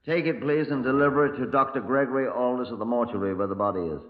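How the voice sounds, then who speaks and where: A man's voice: mid-range voice, fast, lightly acted. Man acting brusque British chief inspector, muffled old radio. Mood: brisk, commanding. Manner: clipped, dryly sardonic, questioning. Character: brusque, probing male Scotland Yard chief inspector. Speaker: police chief inspector and narrator. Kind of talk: 1950s radio crime drama acting. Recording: muffled, echoey old 1950s radio recording.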